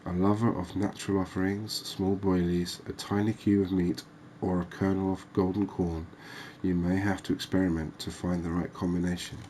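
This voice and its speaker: A soft-spoken man giving ASMR gameplay commentary, thinking aloud with pauses. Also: sits low, level tone, slight background noise. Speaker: gaming video host.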